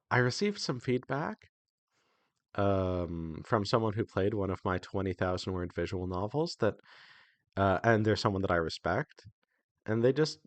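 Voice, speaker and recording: A man's voice: lowish voice, no echo. A man talking casually as the host of an indie developer devlog, in a hesitant, conversational way that often doubles back on itself.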